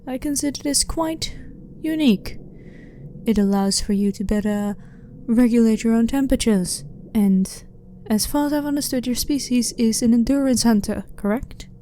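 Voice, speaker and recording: A woman playing a blunt, curious alien scientist in a soft-spoken ASMR roleplay, speaking in a calm, clinical, slightly formal way. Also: on the high side, normal speed, a little hiss or hum, close to an everyday voice.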